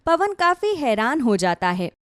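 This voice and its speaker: High-pitched, animated woman storyteller. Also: a little slow, no room sound, clean studio sound. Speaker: storyteller narrator. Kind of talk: scripted dramatic story narration.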